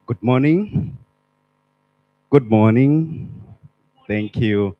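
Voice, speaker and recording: A man's voice: mid-range voice, very slow, no echo, muffled recording. A man from Zimbabwe introducing himself formally at a conference. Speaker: conference delegate.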